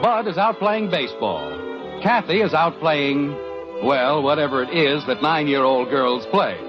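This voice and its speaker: An actor playing a wry, genial storyteller, narrating a 1950s radio show in a theatrical, scripted manner. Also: male voice, on the high side, animated, measured pace, husky. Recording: dull vintage radio, music underneath. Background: music.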